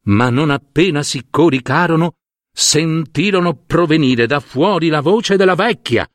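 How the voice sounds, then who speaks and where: A man's voice: fairly high pitch, expressive, measured pace, dry recording, clean studio sound. A man narrating a fairy tale in a dramatic, scripted storytelling style.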